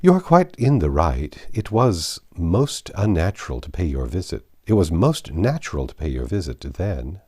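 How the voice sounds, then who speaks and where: A man voicing a poised, teasing young gentlewoman in a reading of a classic novel, with polite, playful manners. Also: low-pitched, big pitch swings, normal speed, hardly any pauses, husky, heavily acted. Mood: calm, polite.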